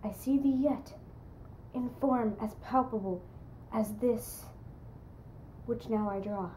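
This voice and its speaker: A woman acting a haunted, brooding would-be murderer in a hushed, deliberate Shakespearean soliloquy. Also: a little high, slight background noise, low-quality recording. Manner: hushed, slow, breathy, deliberate.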